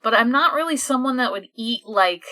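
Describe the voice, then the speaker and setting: A woman co-hosting a podcast in casual chat, talking in an animated, informal way full of "like". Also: on the high side, a little fast. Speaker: podcast co-host.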